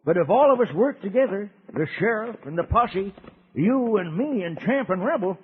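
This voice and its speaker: An American man playing a folksy old cowboy uncle, telling a radio serial to children in a rustic drawl with a husky voice. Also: high voice, lively intonation, normal speed, full character voice. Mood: grave, fatherly. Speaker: narrator. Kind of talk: 1950s radio serial storytelling. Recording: dull old radio recording.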